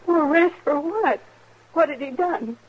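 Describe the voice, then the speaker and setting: A woman playing a proud, devoted American frontier mother in 1950s radio western style, dramatic and halting. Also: sits high, natural voice. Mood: stunned, defiant. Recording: muffled old radio recording, faint noise.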